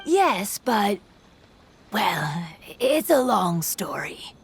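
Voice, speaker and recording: A woman voicing a calm, reserved, soft-spoken young festival-goer in an anime game. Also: medium pitch, very slow, creaky, slight background noise, exaggerated voice. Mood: subdued.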